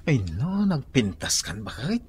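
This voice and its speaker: A man playing a blunt, bossy older father in an Ilocano comedy radio drama, with a husky voice and an Ilocano accent. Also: mid-range voice, very animated, takes it slowly, a little mellow, exaggerated voice. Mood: surprised, teasing.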